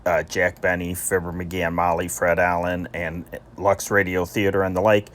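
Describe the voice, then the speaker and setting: A man's voice: low-pitched, flat delivery, normal speed, fairly bright tone. A man hosting an old-time radio channel, chatting informally and directly to listeners as he introduces programs. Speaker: channel host.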